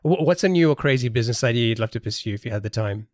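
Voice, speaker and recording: A man's voice: medium pitch, animated, racing, no echo, clean studio sound. A man hosting a casual interview podcast, thinking aloud with hesitations and repeated words.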